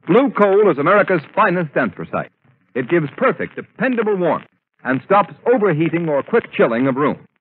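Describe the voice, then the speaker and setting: A man's voice: a little high, very animated, moderate pace, slightly rough. A man announcing in a formal, emphatic, scripted 1930s radio style. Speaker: announcer and narrator. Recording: tinny 1939 radio broadcast with music.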